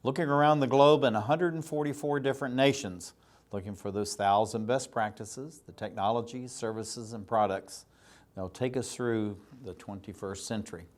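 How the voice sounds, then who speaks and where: A man's voice: mid-range voice, normal speed. A man hosting an interview show, asking long rambling and enthusiastic questions. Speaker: talk show host.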